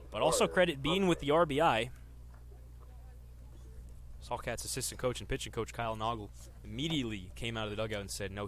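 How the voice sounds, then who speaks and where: A man's voice: lowish voice, a bit bright. A man in his twenties working as a sports announcer, delivering reporting and live baseball play-by-play commentary. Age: 20s.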